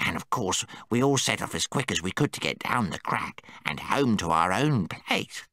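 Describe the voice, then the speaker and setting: A man voicing a timid, excitable male gnome who squeaks when frightened. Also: moderate pace, dry recording, studio quality. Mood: weary relief. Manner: fretful, squeaks when scared. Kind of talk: audiobook character voice.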